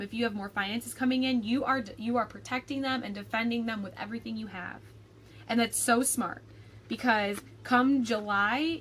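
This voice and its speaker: A young woman talks through a tarot forecast in a loose, chatty way, full of ums and likes. Her voice sits a little high and carries a slight rasp from a lingering cold. A faint background noise hangs under the recording. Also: fairly bright tone. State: raspy from a cold. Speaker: tarot reader. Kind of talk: casual tarot forecast reading.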